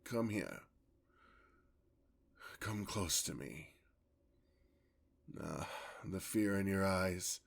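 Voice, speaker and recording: A man performs a vampire lover, tender yet predatory, in an ASMR fantasy roleplay. The voice is fairly low and full of gravelly creak. The phrasing is formal and theatrical, delivered at a slow, lingering pace. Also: heavily acted. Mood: hungry, menacing, coaxing. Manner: theatrical, formal, drawn-out endearments.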